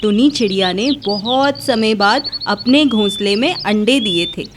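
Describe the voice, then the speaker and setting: A woman's voice: medium pitch, measured pace, background noise. A woman narrator reading a scripted children's moral story.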